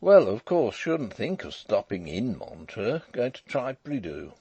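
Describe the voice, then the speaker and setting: A man's voice: lowish voice, big pitch swings, a little slow, mellow, breathy edge, dry recording, exaggerated voice. A man voicing a proud young English husband with a broad accent, eager to impress in a storybook reading. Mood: breezy bluffing. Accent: broad regional English. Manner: hasty, bluffing, plain-spoken.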